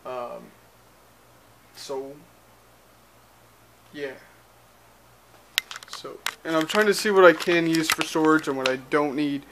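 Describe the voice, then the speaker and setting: A man's voice: on the high side, slow, long pauses, a bit bright, a little hiss or hum, low-quality recording. Rambling young man vlogging at arm's length. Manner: rambling, filler-heavy, loose and chatty. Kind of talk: casual vlog chat. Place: small cluttered spare bedroom indoors. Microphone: handheld camera at arm's length, moving around.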